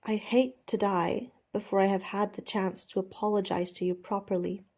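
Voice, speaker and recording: A woman voicing a desperate, apologetic gentleman pirate with an earnest, pleading manner in a storybook reading. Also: medium pitch, steady tone, normal speed, lightly acted. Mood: nervous apology.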